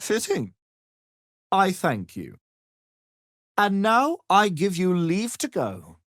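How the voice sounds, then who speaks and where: An arrogant game wizard who is hungry for power and glory. He speaks slowly and condescendingly, with widely swooping intonation. The recording is dry and close. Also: high-pitched, huge pitch swings, a bit bright, no room sound, full character voice. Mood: smug, condescending. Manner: pompous, condescending, theatrical. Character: arrogant, grandiose male wizard obsessed with power and immortality. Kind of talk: dramatic fantasy video game acting.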